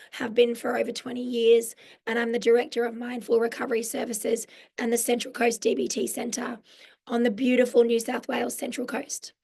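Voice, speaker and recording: A hoarse woman in her forties with an Australian accent, her voice croaky from losing it, explaining mindfulness in an online workshop in a friendly way. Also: a little high, a little mellow. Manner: friendly, explanatory, with frequent um fillers. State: hoarse, lost voice. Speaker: mental health social worker teaching a mindfulness workshop. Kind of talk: live online workshop presentation. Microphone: video call.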